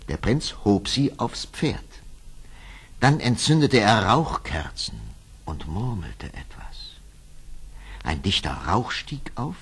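A man's voice: low-pitched, creaky, husky. A man narrating a scripted fairy tale as a dramatic storyteller.

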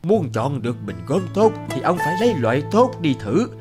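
An actor playing an argumentative man haggling in an animated folk tale, speaking emphatically and indignantly. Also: a little high, a little fast. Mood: realizing. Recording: loud plucked-string music under the voice.

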